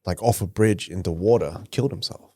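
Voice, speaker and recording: A man's voice: low-pitched, fairly quick, dark tone, slight background noise. Laughing man leading a podcast. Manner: laughs often, leads the segments. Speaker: podcast co-host. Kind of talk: casual chat.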